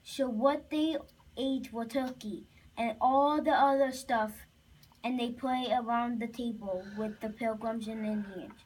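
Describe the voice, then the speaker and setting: A child narrating a homemade puppet show haltingly, with restarts. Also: mid-range voice, steady tone, darkish tone. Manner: halting, repeats and restarts phrases. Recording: distant, faintly noisy home recording.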